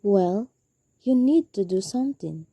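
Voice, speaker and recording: A woman's voice: medium pitch, animated, a little slow, dark tone, slightly rough. A young woman acting in a student radio drama as an anxious, demanding invalid wife alone at night. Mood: agitated.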